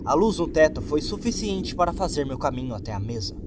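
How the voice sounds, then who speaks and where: A man's voice: on the high side, sing-song, fairly quick, background noise. A man with a Brazilian accent reads a scripted first-person horror story as a narrator. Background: background music.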